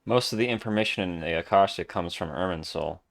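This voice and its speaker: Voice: low-pitched, fairly quick, vocal fry. Casual gamer thinking aloud. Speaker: game streamer, player. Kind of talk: casual gameplay commentary.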